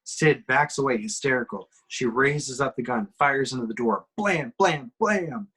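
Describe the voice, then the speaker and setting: Husky man narrating horror action. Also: medium pitch, expressive, measured pace, a bit bright. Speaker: reader of action descriptions. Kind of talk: dramatic scripted narration, amateur table read.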